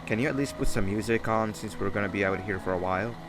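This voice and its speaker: Game mascot monster, male actor: glib, teasing schemer, lower-pitched, conversational, music behind. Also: fast, close to an everyday voice. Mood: chatty, needling. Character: chatty sarcastic mascot monster, upbeat schemer. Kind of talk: video game character acting.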